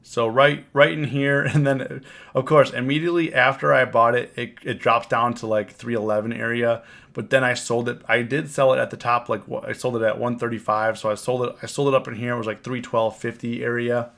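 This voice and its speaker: A man with a cold, hoarse and rambling, recapping his trades on a casual live stream and often repeating himself. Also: mid-range voice, flat delivery, brisk, a bit bright. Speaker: options trading live streamer. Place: home, on camera.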